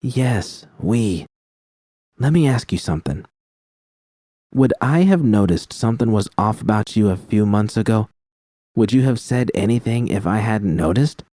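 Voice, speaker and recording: A man voicing a calm, gentle young woman who soothes her partner in a soft, coaxing way. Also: fairly low pitch, a little slow, no echo, clean studio sound. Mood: tender. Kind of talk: fan audiobook reading.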